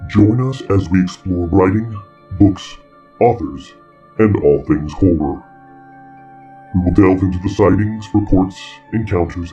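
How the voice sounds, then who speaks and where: A man reading a scripted spooky podcast intro in an ominous, deliberate manner. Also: low voice, mellow, recorded from a distance, highs cut off. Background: music.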